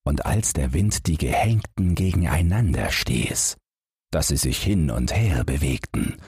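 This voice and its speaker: Male fairy-tale narrator: slow, breathy-husky, close and dry, bedtime audiobook style. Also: a bass voice, animated, a little mellow, dry recording.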